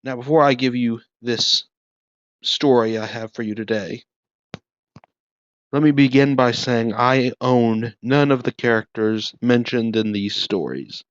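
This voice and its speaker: A man's voice: mid-range voice, a little slow, clean tone, dry recording. A man hosting with a theatrical, scripted introduction. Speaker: host introducing old radio programs. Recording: dry, slightly muffled voice recording.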